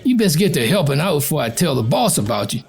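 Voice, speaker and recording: Spiteful Southern man, high gravelly rasp. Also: sing-song, fast, faint music behind, heavily acted. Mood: spiteful, taunting. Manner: sneering, bullying. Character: spiteful skinny male plantation driver, bullying. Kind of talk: audio drama acting.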